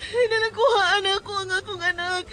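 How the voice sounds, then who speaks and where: Desperadang inahan nga naghilak, taas kaayo og tingog. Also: female voice, fairly even intonation. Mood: tearful pleading. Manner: imploring, shrill, drawn-out pleading. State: crying, wailing. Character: desperate pleading mother fighting to keep her child. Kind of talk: Cebuano radio drama acting. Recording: loud music and clamour behind.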